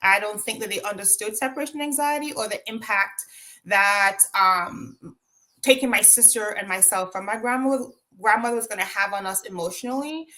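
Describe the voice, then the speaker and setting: A woman's voice: mid-range voice, moderate pace, sharp tone. A woman from Jamaica with a Jamaican accent, telling her own story as an interview guest, reflective, with frequent ums and tag questions.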